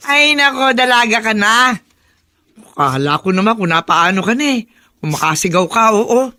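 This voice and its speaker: A Filipino village mother in a radio drama. Her voice is bright and forward at a middle pitch. She is caring and teasing with her family. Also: animated, moderate pace. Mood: relieved, chiding.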